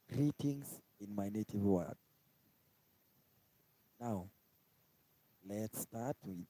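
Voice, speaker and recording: A man from Kenya with a Kenyan accent, giving a casual language lesson and slowly repeating each word. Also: on the low side, dark tone, no room sound, low-quality recording. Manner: slow, repetitive, spells out each word twice. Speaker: language teacher. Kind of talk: informal live-stream language lesson.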